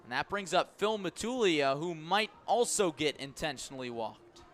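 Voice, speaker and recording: Higher-pitched male baseball play-by-play announcer. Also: faint music behind. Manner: measured narration rising to excited shouts on key plays. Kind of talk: live sports commentary.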